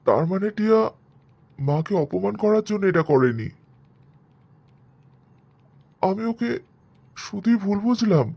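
A man's voice: high voice, big pitch swings, normal speed, gravelly, muffled recording, full character voice. A man voicing an earnest, wounded grown-up son, a young village doctor, in a dramatic cartoon story. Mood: regretful. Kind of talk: Bengali cartoon story dialogue.

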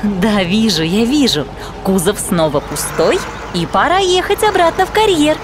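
A woman narrating a children's cartoon as host, explaining things in an animated storytelling way. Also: medium pitch, moderate pace, over music.

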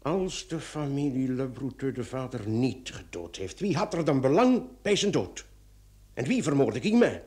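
A man's voice: mid-range voice, very animated, moderate pace, dark tone, lightly acted. An actor playing an earnest, anxious police commissioner in a radio play, emphatic and often repeating his words. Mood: pondering. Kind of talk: Dutch-language radio drama acting.

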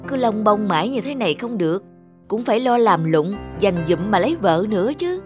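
A woman voicing a responsible, stern village elder brother in an animated folk tale, firm and admonishing. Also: medium pitch, normal speed, natural voice. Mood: advising. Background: background music.

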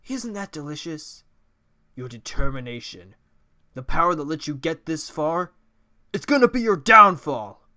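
A young goat-monster villain from a video game, acted out by a man. He gloats and taunts with manic emphasis. The pitch lurches up and down. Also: pushed and bright, no echo, clean studio sound, full character voice. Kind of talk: video game character impressions.

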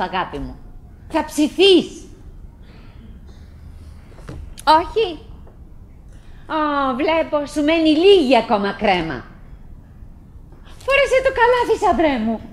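A high, swooping, wistful chatterbox wife. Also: female voice, wildly swooping pitch, pauses often. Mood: fussing. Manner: fragmented, exclamatory, musing aloud. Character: chattering, wistful middle-aged wife, stubbornly cheerful. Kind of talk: theatrical radio drama monologue. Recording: noisy old radio drama recording.

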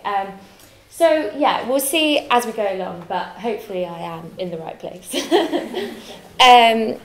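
A woman's voice: medium pitch, echoey room. A young woman from Wales with a faint Welsh accent, giving a chatty, self-deprecating talk in a lecture theatre, full of fillers and restarts. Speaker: guest lecturer. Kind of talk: conference talk.

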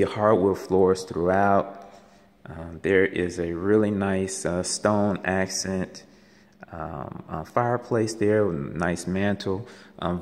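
A man gives a casual walking tour of an empty house as a realtor, talking haltingly with many ums and uhs, filmed on a handheld phone. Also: deep voice. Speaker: realtor giving a home tour. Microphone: handheld phone camera. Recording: echoey empty rooms.

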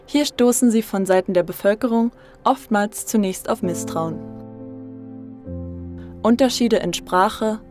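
A woman reading a documentary voice-over in a steady, even-toned, informative way. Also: medium pitch, unhurried, long pauses. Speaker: documentary narrator. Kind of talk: scripted narration. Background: instrumental music.

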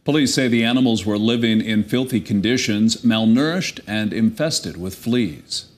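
A man reading local news as a co-anchor in a television studio, with a crisp, formal delivery. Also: fairly low pitch, moderate pace.

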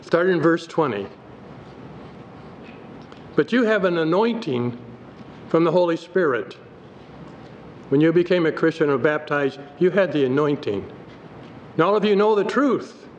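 Older guy preaching from the pulpit, reads scripture slow and steady, big church echo. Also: on the high side. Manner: measured, reads scripture aloud from the page. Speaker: pastor. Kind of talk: sermon read from notes at a pulpit. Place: church sanctuary. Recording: dull hall recording with faint noise.

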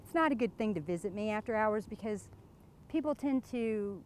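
A woman's voice: mid-range voice, animated, moderate pace, darkish tone, a little hiss or hum, close to an everyday voice. An American woman, probably in her thirties, playing a flirty, giggly, boastful glamorous wife in an improvised, gushing mock interview. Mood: coy. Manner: coy, gushing, suggestive, giggly. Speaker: interviewee in character. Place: outdoors beside a lake.

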